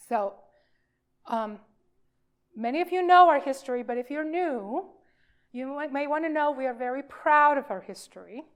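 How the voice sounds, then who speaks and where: A woman minister giving an informal sermon for all ages, speaking in a halting, emphatic way with deliberate pauses. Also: sits high.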